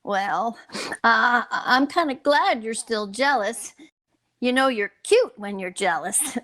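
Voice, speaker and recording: A woman plays a young, meddling housewife in an old-time radio sitcom. Her voice is high and bright, and she draws her words out in a cute, playful, emphatic way. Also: expressive, takes it slowly, exaggerated voice. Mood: coaxing, affectionate. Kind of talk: re-created 1940s radio sitcom acting.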